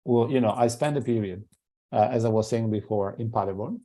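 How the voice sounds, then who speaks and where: A man's voice: lowish voice, brisk, warm tone. A middle-aged man with an Italian accent giving an academic seminar talk, hesitant, with many fillers and restarts. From Italy. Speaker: invited seminar speaker.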